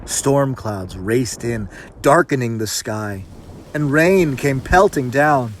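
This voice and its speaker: Slow, highly animated male storyteller. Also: slight background noise, rough recording. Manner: theatrical, suspenseful, emphatic. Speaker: storyteller reading a folktale. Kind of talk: dramatic scripted narration.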